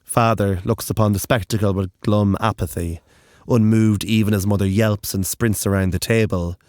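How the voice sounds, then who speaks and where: A narrator reading dark, literary horror fiction in a deliberate, intense and dramatic way. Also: low-pitched, steady tone, no room sound, studio quality.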